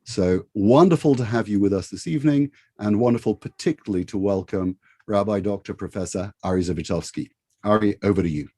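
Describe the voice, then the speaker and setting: A man's voice: fairly low pitch, brisk, warm tone, dry recording. A man hosting an evening event, giving a formal introduction of the guest speaker. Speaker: host.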